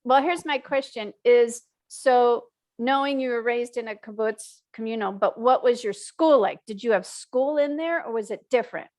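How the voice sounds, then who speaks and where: Woman podcast host with a higher voice. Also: moderate pace, few pauses, a little mellow. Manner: friendly, prompting questions. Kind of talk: casual interview. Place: room with whiteboard. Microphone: studio microphone on video call.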